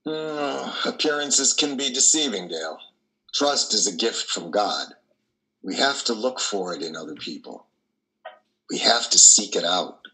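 An older man playing a compassionate, gentle priest in a play reading, measured and reassuring, with hesitant pauses. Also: on the low side, animated, full character voice, rough recording. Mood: gentle counsel. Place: indoor wood-trimmed room on a video call.

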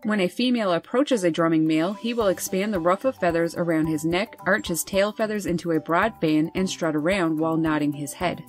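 A woman host reading scripted nature narration over wildlife footage in a measured, informative way. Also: lowish voice. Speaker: birding channel host.

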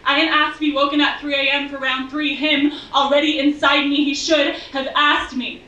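Bright, high young woman reciting poetry. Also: little pitch movement. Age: young adult. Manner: measured, intense, rhythmic delivery. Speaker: slam poet. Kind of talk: spoken-word poetry performance. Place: bar stage venue, indoors. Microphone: stage mic on stand, filmed from afar. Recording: distant, dull, echoey room recording.

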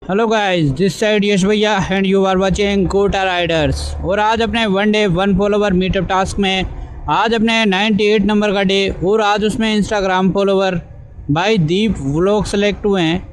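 A man's voice: sits high, steady tone, measured pace, slight background noise, poor audio. A young man, hosting a casual vlog outdoors and chatting straight to his viewers with slang. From Hadoti region, Rajasthan. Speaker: vlogger host. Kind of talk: casual vlog chat while riding. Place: outdoors on city streets. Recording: loud music playing under the voice. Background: hip hop music.

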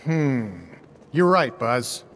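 Earnest toy sheriff, high male pitch, unhurried, animated, echoing hall. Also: heavily acted. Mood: agreeable, earnest. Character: lanky cowboy toy sheriff, earnest, friendly leader. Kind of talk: animated video game dialogue.

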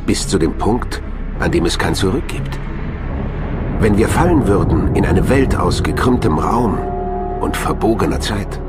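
A man reading scripted documentary narration in a measured, dramatic way. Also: low voice, lively intonation. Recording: voice over a music bed. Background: music.

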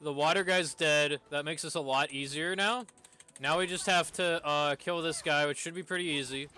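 A man's voice: a little high, moderate pace, pushed and bright, slight background noise. A man streaming a game with casual live commentary, exclaiming when things go wrong. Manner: reads game lines aloud, exclaims at setbacks.

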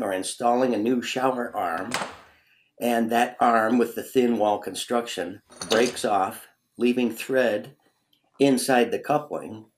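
A man's voice: mid-range voice, a little mellow. A man presenting a product in scripted how-to demonstration narration.

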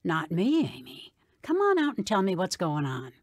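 A woman's voice: on the low side, wildly swooping pitch, moderate pace, background noise. A woman playing a playful, reassuring old grandmother in a radio drama, folksy and soothing. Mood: kindly, playful.

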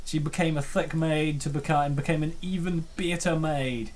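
A comic dub host giving casual, rambling commentary on a webcomic, with playful and excitable reactions. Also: mid-range voice, normal speed, a little hiss or hum.

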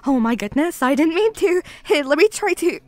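A woman's voice: high-pitched, lively intonation, moderate pace, darkish tone, no room sound. A woman playing a clumsy, flustered young barista who stammers and apologizes in an audio roleplay. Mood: flustered apology. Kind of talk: ASMR roleplay acting.

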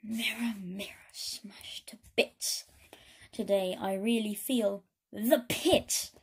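A woman's voice: medium pitch, steady tone, takes it slowly, slightly rough, full character voice. A woman voicing a mean, vain queen from a children's story, theatrical and spiteful in rhyme. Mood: bitter muttering.

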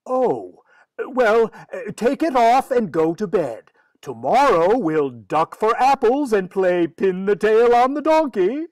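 A woman's voice: mid-range voice, big pitch swings, takes it slowly, a little mellow, dry recording. A woman playing a firm, wry, long-suffering father in a 1940s radio comedy. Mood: firm, exasperated. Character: exasperated, firm father of a mischievous girl.

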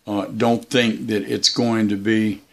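A man with a fairly low voice chats informally as a hobbyist. He explains in an unhurried, halting way, wandering from point to point as if filming at home with his gear. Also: little pitch movement, fairly bright tone. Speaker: shortwave radio hobbyist vlogger. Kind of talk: casual hobby chat. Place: indoors, small room beside radio gear.

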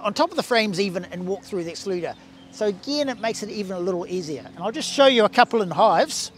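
A man's voice: sits high, a little hiss or hum. A man in his sixties with a New Zealand accent, a bee scientist explaining hive equipment outdoors in a garden, talking with restarts and gestures. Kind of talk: outdoor demonstration talk. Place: garden outdoors beside beehives.

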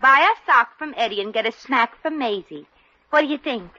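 An actress playing a brassy, scheming young Brooklyn secretary, wisecracking in slangy 1940s radio comedy style. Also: female voice, on the high side, animated, moderate pace, sharp tone. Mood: eager, scheming. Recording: muffled, roomy old radio recording.

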